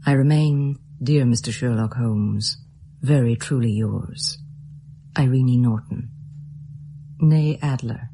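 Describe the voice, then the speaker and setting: A woman's voice: rumbling low, slow, long pauses, dark tone, a little hiss or hum, heavily acted. A woman playing a poised, shrewd, resolute lady in a radio drama, speaking formally as if reading a letter. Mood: composed, assured.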